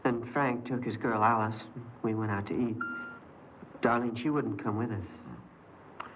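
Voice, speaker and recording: A man's voice: lowish voice, animated, a little slow, background noise. An actor playing a naive teenage runaway boy who puts on a worldly front, hesitant and defensive, in 1950s radio drama style. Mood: sheepish confessing. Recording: muffled 1950s radio recording with music.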